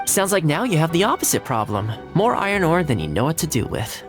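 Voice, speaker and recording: An actor playing a friendly, shrewd young fixer in a video game, courteous and measured. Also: male voice, on the high side, very animated. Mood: shrewd, helpful. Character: friendly, well-connected young man, polite and shrewd fixer. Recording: game music behind the voice. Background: game music.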